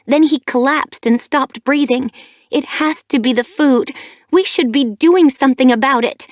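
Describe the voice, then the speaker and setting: A woman's voice: fairly high pitch, moderate pace, dry recording, clean studio sound, pitched above the performer's own voice, lightly acted. A woman reading an audiobook, voicing a young she-cat mother who is grieving and angry, with a raised, accusing voice. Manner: accusing, bristling.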